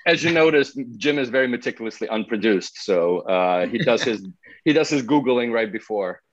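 A man's voice: medium pitch, animated, moderate pace, dry recording, highs cut off. A man hosting a podcast, chatting informally and unscripted in a bantering way over a video call. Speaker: podcast host. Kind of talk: casual unscripted chat.

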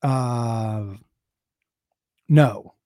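A man hosting a casual chat, hoarse from losing his voice, halting and rambling with many restarts. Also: medium pitch, dark tone, no echo, studio quality. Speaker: host.

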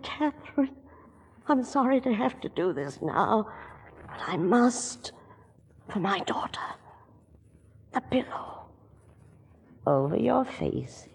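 An actress playing a bitter, obsessive, middle-aged murderess in 1940s radio drama, intense and confessional. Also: female voice, a little high, husky, heavily acted. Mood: scornful, intense, then hushed, menacing. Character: murderous aunt and mother, bitter, obsessive, chillingly calm. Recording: reverberant 1940s radio broadcast.

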